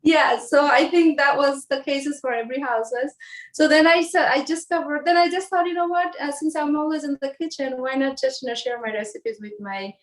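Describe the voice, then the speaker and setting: A woman in her forties from Bangladesh, explaining home recipes in a casual, halting way with lots of fillers and restarts. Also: high-pitched. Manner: hesitant, many fillers and restarts. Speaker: home cook guest. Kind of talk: casual cooking demonstration.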